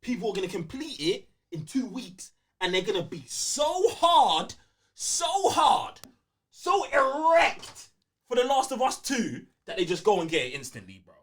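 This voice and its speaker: A high-voiced man with a London accent hosts a casual podcast. His pitch swings wildly as he rants, hammering phrases again and again in heavy slang. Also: big pitch swings, a little slow, a bit bright. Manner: ranting, emphatic, repeats phrases for emphasis, slangy. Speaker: podcast host. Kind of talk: heated casual gaming podcast banter.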